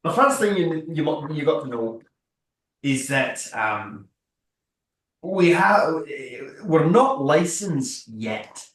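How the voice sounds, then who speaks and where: A man's voice: a little high, sing-song, takes it slowly, fairly bright tone, full character voice. A man improvising a nervous, rambling mercenary who stammers and over-explains in a tabletop roleplay. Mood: nervous, halting. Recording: echoey room, far from mic.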